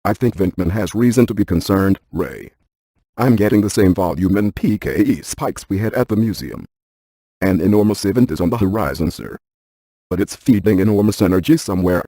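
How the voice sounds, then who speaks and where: A man playing a brainy, stiff ghost-hunting scientist in a video game, speaking in a precise, clinical deadpan. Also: fairly low pitch, dry recording.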